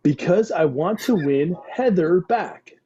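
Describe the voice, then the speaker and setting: A man's voice: high voice, animated, slow, dark tone, husky, exaggerated voice. A man playing a sweet, dim, lovesick young slacker with slangy, earnest, boastful talk in a comedy table read. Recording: dull, lo-fi video call sound.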